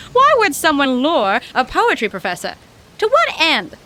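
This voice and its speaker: A bright, high-voiced small-town woman, acted by a woman for an audio drama. She is plucky and folksy, with old-fashioned slang and a very animated melody, over faint background noise. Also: unhurried, full character voice. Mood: breezy, teasing. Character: plucky, brisk small-town woman, Sonya, loyal but alarmed.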